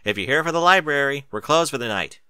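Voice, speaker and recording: A man voices an easygoing young male dragon from a storybook, casual and a little grumbly. The delivery is bright and lively, recorded dry. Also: medium pitch, fast, smooth, no echo, pitched above the performer's own voice. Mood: casual, unbothered. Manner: casual, shrugging.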